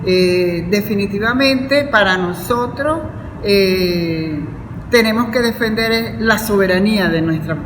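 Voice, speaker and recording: A woman's voice: mid-range voice, takes it slowly. A woman with a Venezuelan Spanish accent giving an earnest, formal panel speech as a university academic, repeating phrases for emphasis. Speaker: university professor and academic vice-rector. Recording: echoey hall with loud background noise.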